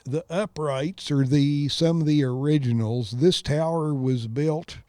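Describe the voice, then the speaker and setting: A man's voice: fairly high pitch, measured pace, dark tone, dry recording, clean studio sound. A man, co-hosting a podcast in rambling casual chat and telling easygoing stories, recorded outdoors on a lakeside tower. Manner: easygoing storyteller. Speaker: podcast co-host.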